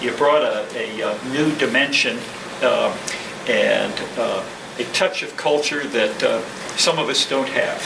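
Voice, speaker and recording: A man giving a modest, deliberate and halting informal speech as a club president at a lectern, picked up from a distance in a noisy room. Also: medium pitch, gravelly, roomy sound. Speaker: newly elected club president.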